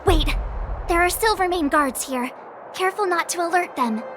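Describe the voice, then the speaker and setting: A woman's voice: very high voice, moderate pace, noisy background. A woman voicing a perky, cheeky teenage girl adventurer in an anime game, exclamatory and playful. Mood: alarmed. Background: game music.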